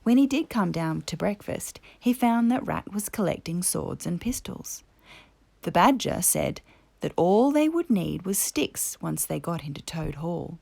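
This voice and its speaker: A woman narrating a children's story as a storyteller, in a measured, expressive way. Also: fairly low pitch, vocal fry.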